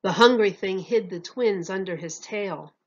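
A woman's voice: medium pitch, unhurried, highs cut off. A woman narrating a children's picture book expressively, like a teacher reading aloud.

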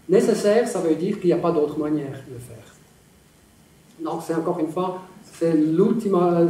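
A middle-aged man, a professor answering audience questions in an academic, halting way with many pauses, in a large lecture hall. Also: on the high side, mellow. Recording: echoey hall, distant, some noise.

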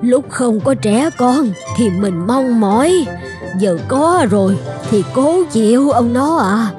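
A woman's voice: a little high, over music. A woman playing an elderly, motherly peasant wife in a fairy-tale cartoon, speaking expressively. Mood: soothing. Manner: expressive, drawn-out exclamations.

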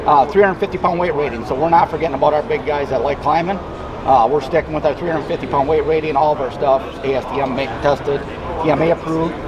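A man's voice: on the high side, fairly even intonation, fast talker, muffled recording. A man giving a casual product demonstration as a company representative, explaining things in a plainspoken, agreeable way. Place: busy indoor trade show floor. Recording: busy trade show crowd noise.

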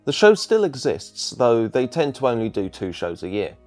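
A man's voice: mid-range voice, huge pitch swings, moderate pace, darkish tone, faint music behind. A man narrating a scripted video essay as its presenter.

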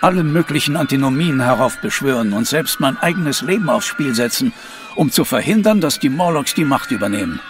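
A man plays a determined Victorian police inspector in an audio drama. He is formal and earnest, mid-pitched, set a little back from the microphone over music. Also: animated, fairly quick, far from the mic, close to an everyday voice. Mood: earnest, questioning. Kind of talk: German audio drama acting.

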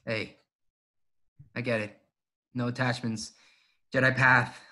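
A cocky space pilot, played by a man, earnest and persuasive in a film script reading. He talks casually and haltingly, as if thinking it through. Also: medium pitch, little pitch movement, pushed and bright. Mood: earnest, persuading. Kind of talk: fan table read of a film script.

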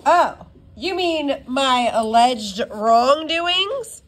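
A woman's voice: high-pitched, expressive, extremely slow, voice pushed up, exaggerated voice. A woman voicing a cheeky, self-assured schoolgirl in a storybook, with dry, teasing emphasis. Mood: wry amusement.

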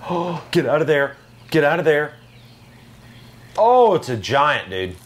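A man's voice: a little high, sing-song, measured pace. A man playing a video game and commentating casually, in an exasperated, self-deprecating, slangy way. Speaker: video game player and channel host.

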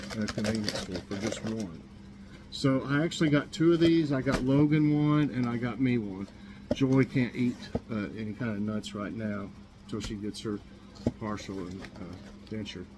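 A man's voice: mid-range voice, background noise. Chatty middle-aged man rambling to camera. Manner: rambling, chatty, many ums and restarts. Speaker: vlogger showing a grocery haul. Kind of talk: casual chat to camera. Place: small kitchen indoors.

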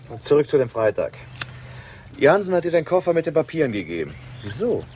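An actor playing a dogged, impatient police detective in a German crime radio drama, pressing with clipped questions. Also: male voice, on the high side, very animated, fairly quick, a little mellow. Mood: probing. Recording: radio drama with background noise.

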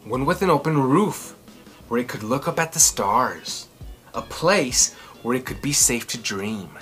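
A man in his thirties presents a children's storytime with theatrical emphasis, stretching key words. His pitch swings widely and his tone is bright, with some music behind him. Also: animated, measured pace. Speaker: children's book read-aloud host. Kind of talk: expressive storytelling and chatty commentary for children. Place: small furnished room indoors.

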